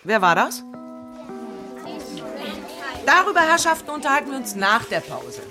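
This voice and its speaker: A woman voicing a prim schoolteacher who speaks slowly and theatrically. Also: high-pitched, very animated, over music, a crowd in the background. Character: prim primary-school teacher, middle-aged woman.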